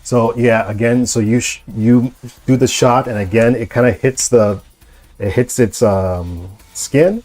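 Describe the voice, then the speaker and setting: A man acting as game master, improvising narration of a tabletop horror game in halting, repetitive phrasing. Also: medium pitch, darkish tone, soft background music.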